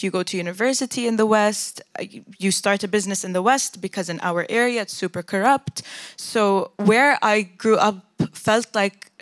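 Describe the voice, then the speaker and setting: A woman's voice: mid-range voice, dry recording. A young adult woman from Jordan speaking as a panel guest in an earnest, candid, storytelling way. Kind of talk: personal reflective discussion.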